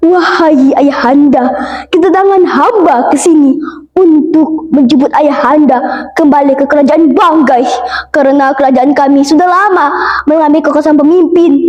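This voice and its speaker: A woman's voice: high-pitched, normal speed, a little mellow, dull audio, lightly acted. A young female storyteller voicing a humble, dutiful prince, pleading formally with his father in a folktale. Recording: roomy, echoing sound.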